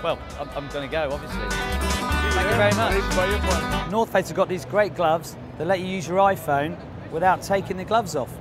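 A man's voice: high voice, moderate pace. A man in his thirties presenting a show with jokey roving interviews in a busy exhibition hall. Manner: jokey, light-hearted. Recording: loud music in the hall.

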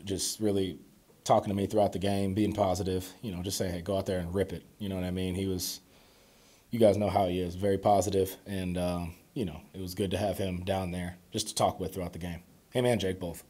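Low, mellow young man at a podium. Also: husky. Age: 20s. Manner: calm, measured, filler-heavy replies. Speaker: quarterback at a postgame press conference. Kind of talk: postgame press conference answers. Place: press conference room before a sponsor backdrop. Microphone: podium microphones.

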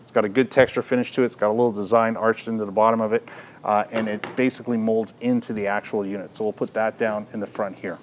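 A man's voice: on the low side, little pitch movement, fast talker, a little hiss or hum. Middle-aged man demonstrating a product. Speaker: product reviewer, presenter. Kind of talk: unscripted product demonstration talk. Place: indoor audio gear shop, at a counter.

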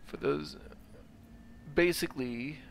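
A man's voice: on the high side, slow, creaky, a little hiss or hum. A man giving a casual, explanatory video game walkthrough commentary.

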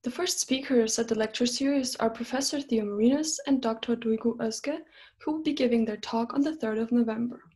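A woman's voice: on the high side, a little fast, a little mellow. A young woman hosting an interview series, delivering a scripted introduction to camera. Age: young adult. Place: small plain room indoors.

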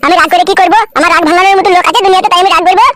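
High, whining village underling played by a man. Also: steady tone, rapid-fire, heavy background noise. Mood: self-pitying, aggrieved. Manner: whining, aggrieved. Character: self-pitying village underling abandoned by his wife. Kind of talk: amateur comedy cartoon dialogue.

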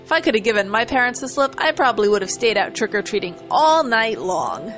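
A woman's voice: a little high, sing-song, brisk, fairly bright tone. Brash young athletic pony mare , played by a woman: cocky, casual, kind underneath, cartoon storybook delivery over music. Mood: friendly, amused.

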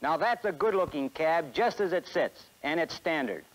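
A man's voice: on the high side, expressive, normal speed, slight background noise, low-quality recording. A man in his forties with an American accent giving a scripted sales presentation in a 1960s promotional film. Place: film studio beside a truck.

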